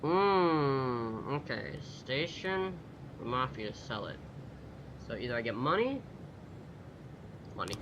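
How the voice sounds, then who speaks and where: Animated man doing casual gameplay commentary, noisy recording. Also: medium pitch, slow, long pauses, lo-fi sound. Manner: muttering to himself, profane, reading on-screen text aloud. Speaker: gaming video host.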